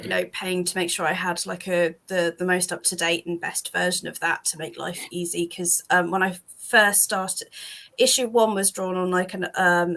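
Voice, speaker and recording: A British woman talking casually about her comic on a call, rambling with lots of ums and restarts, a bit hoarse from losing her voice. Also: fairly low pitch, pushed and bright. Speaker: comic creator guest. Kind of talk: casual chat on a video call.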